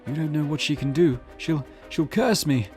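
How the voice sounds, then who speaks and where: A man's voice: fairly high pitch, moderate pace, music in the background, pitched above the performer's own voice, close to an everyday voice. A man voicing a frightened, pleading male client with a nervous stammer, reading visual novel dialogue. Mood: panicked, pleading.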